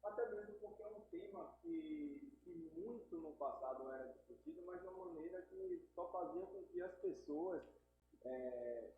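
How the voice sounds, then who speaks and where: Playful host in an echoey room. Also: male voice, on the high side. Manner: playful, joking, teasing. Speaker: podcast co-host. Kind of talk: casual podcast hosting. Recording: very echoey, phone-like sound.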